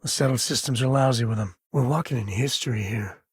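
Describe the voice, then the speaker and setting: A man's voice: mid-range voice, a little fast, slightly rough, no echo, clean studio sound. An actor playing an easygoing, roguish middle-aged space cowboy and devoted father in a video game, with folksy, wry, laid-back phrasing. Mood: easygoing, reflective. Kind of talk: video game character dialogue.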